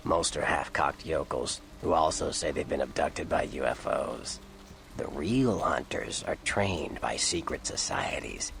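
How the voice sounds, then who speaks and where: An actor playing a worldly, sardonic old vampire informant in a video game, gravelly, with a dry, wry, slangy way of explaining things. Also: male voice, rumbling low, a bit bright, slight background noise, exaggerated voice. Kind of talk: video game character dialogue.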